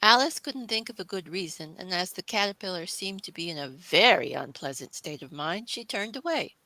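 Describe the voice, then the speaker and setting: Bright-voiced woman narrating a storybook. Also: fairly low pitch, lively intonation, normal speed, dry recording, rough recording.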